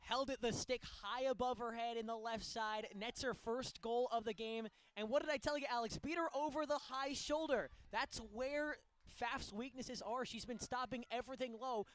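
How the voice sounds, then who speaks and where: A man's voice: extremely high pitch, fairly bright tone. A man giving analytical, explanatory color commentary on a live radio sports broadcast.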